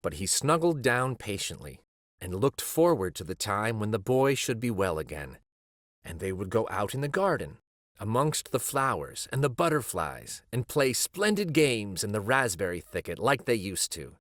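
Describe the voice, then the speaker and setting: A man reading a children's story aloud as a storyteller, with measured, dramatic phrasing. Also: fairly low pitch, sing-song, fairly bright tone, dry recording, studio quality. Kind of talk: expressive scripted storybook narration.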